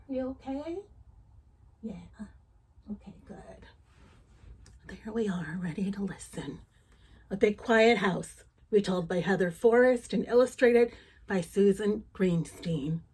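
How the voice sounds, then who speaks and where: A woman reading a children's story aloud, gentle and expressive, chatting to her young listeners as she goes. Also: mid-range voice, slow, slightly rough.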